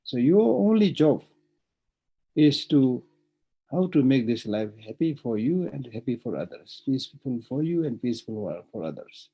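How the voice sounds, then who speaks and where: A man's voice: mid-range voice, very animated, normal speed, breathy edge, highs cut off. A man teaching Buddhism online, speaking earnestly, repeating phrases and often saying "you know". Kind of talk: dharma teaching.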